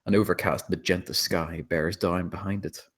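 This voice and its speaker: Casting: male narrator, low-pitched, delivering scripted scene descriptions with a dramatic storytelling style. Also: steady tone, moderate pace, a bit bright, husky. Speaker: narrator reading stage directions.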